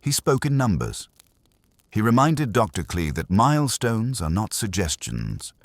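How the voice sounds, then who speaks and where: A man's voice: lowish voice, wildly swooping pitch, measured pace, voice pushed up, heavily acted. A man playing a defensive, methodical doctor in an audiobook mystery, giving clipped, factual statements.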